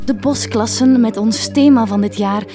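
A woman acting as a prim Flemish schoolteacher with a fairly high voice. She sounds sugary yet strained, in comic radio drama style, over clear music. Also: moderate pace, close to an everyday voice. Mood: sugary, apologetic.

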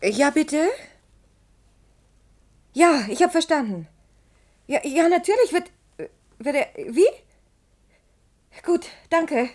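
A woman's voice: high-pitched, lively intonation, slow, long pauses, no echo. An anxious woman in a radio drama, caught very close and dry. She speaks intimately and with strain, as someone living through a search for a man she cares about. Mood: urgent, hopeful. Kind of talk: German radio play acting and narration.

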